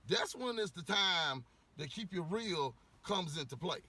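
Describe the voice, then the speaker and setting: Husky, blunt man ranting to camera. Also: high voice, animated, a little slow, pushed and bright. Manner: rambling, repeats words, frequent "you know"; blunt, swears freely. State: losing his voice a little. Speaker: vlogger giving opinions. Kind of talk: casual rant to camera.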